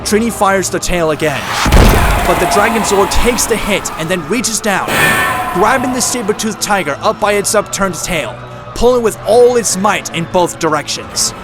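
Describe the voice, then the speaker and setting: Narrator, male: slightly high voice, dramatic action storytelling over very loud music and effects. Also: expressive, normal speed.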